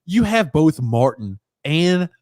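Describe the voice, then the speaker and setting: A man hosting a live game watchalong podcast, excitable and reading viewer comments aloud. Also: on the high side, slow pace, no echo, clean studio sound. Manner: excitable, swearing, reads viewer chat. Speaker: podcast host.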